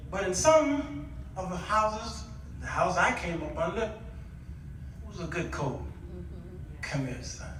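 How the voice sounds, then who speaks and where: An older man giving a conversational storytelling sermon with dramatic pauses and homely acted-out dialogue. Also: high voice, lively intonation. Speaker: guest preacher. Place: church, picked up from a distance. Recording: echoey room, distant mic, noisy background.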